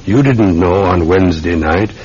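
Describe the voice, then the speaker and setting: Low-voiced, earnest police sergeant. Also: male voice, darkish tone, breathy edge. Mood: earnest, probing. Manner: polite, deliberate, insistent questioning. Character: earnest, methodical, deferential Scotland Yard police sergeant. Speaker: actor in a radio mystery serial. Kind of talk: classic radio drama acting. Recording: muffled old radio recording with faint hiss.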